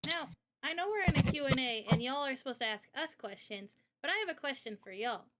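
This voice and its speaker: A woman's voice: high voice, lively intonation, a little fast, a bit bright. A woman hosting a casual livestream Q&A as a game developer, talking informally and directly to viewers.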